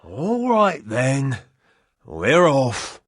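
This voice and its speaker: A man's voice: mid-range voice, huge pitch swings, very slow, a little hiss or hum, heavily acted. A man voicing a theatrical, cowardly comic sidekick adventurer in a video game, hammy and dramatic. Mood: jaunty. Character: jolly, theatrical, cowardly male adventurer playing ship's captain.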